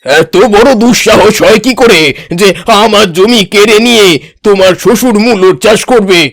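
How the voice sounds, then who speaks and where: A self-important village landlord from a cartoon, acted by a man. The male voice is pitched well up and speaks with emphatic, theatrical weight. The recording is close and dry. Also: moderate pace, gravelly, dry recording. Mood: outraged. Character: proud village landlord uncle, genial but quick to outrage. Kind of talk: exaggerated cartoon dialogue.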